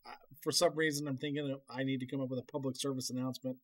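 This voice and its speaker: A man hosting a casual, chatty interview in a halting, rambling, self-deprecating way. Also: medium pitch, level tone. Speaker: podcast interview host.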